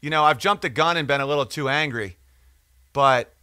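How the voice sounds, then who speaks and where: A man's voice: on the high side, a little fast, sharp tone, smooth, no echo, studio quality. A man hosting a podcast, talking in a casual, digressive, profane monologue as he reads out and answers comments. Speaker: podcast or livestream host.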